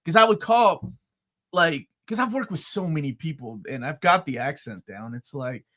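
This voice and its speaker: Man, light midwestern accent, host, high animated voice, bright, excitable, chatty. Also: moderate pace. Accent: light Midwestern American. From Peru and the United States. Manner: excitable, laughing, animated storyteller. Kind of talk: casual live-stream chat.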